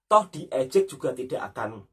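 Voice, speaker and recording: A man's voice: medium pitch, sing-song, normal speed, breathy edge, far from the mic. A man gives conversational commentary as a host, emphatically repeating his points and often adding tag questions. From Indonesia. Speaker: host of a reaction talk.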